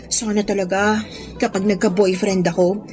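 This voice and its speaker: An actress playing a gushing, easily thrilled young college friend in a Filipino radio drama, with a Filipino accent. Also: female voice, medium pitch, flat delivery, moderate pace, a little mellow, faint music behind, lightly acted. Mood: teasing, admiring. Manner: gushing, exclamatory.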